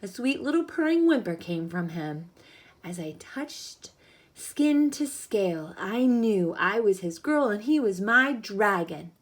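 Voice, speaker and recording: A woman schoolteacher reading a picture book aloud with animated, dramatic emphasis and encouraging asides to her pupils. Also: mid-range voice, a little slow. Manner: encouraging, checks understanding with questions. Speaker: elementary school teacher.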